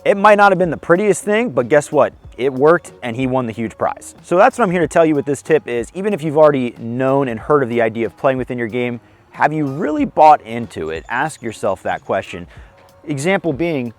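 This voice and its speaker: A young man with a slightly hoarse voice hosts a casual disc golf tips vlog outdoors on a course, friendly and self-deprecating as he explains. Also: medium pitch. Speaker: disc golf video host.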